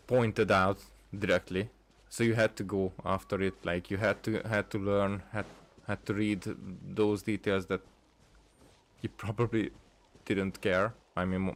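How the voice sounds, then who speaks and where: A man giving casual gameplay commentary, rambling and thinking aloud with halting phrases. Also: on the low side, a little hiss or hum. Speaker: gaming streamer. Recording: game music behind the voice. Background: video game music.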